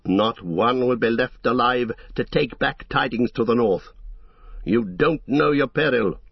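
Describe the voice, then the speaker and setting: A man voicing a noble warrior who speaks calmly, firmly and in a measured way, on a dull lo-fi recording. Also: medium pitch, gravelly, breathy edge, no room sound. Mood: commanding. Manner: calm, firm, measured. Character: noble male warrior-king, calm, commanding.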